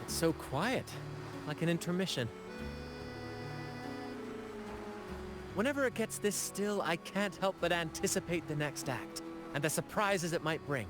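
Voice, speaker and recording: A man's voice: fairly high pitch, animated, moderate pace, natural voice. An actor playing a confident young seafaring showman captain in a video game, with a theatrical, playfully poetic manner. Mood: playful, amused. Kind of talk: video game character dialogue. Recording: loud music behind the voice.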